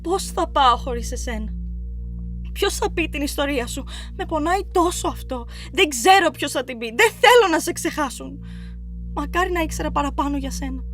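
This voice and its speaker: A woman's voice: high voice, animated, a little fast, faint music behind. A woman playing an anguished, guilt-ridden young princess in a poetic stage tragedy, intense and rhetorical. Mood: grieving. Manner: intense, rhetorical, swinging between outcry and hush. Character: anguished young Cretan princess racked with guilt over brother's death.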